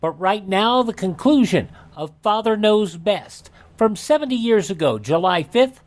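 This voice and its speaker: A man's voice: high-pitched, animated, a little slow. Male radio host announcing. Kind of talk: scripted radio narration and announcing.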